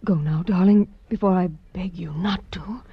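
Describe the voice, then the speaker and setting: An actress playing an emotional femme fatale pleading with a detective, in melodramatic 1940s radio style. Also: female voice, on the low side, normal speed, husky. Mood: earnest, tender. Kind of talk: 1940s radio drama acting. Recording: dull old radio recording.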